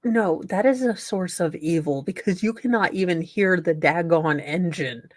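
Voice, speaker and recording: A woman chats in a casual comedic style, sarcastic and emphatic. Her voice is a little low for a woman, and it is recorded dry, with hardly any room sound. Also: moderate pace, no echo.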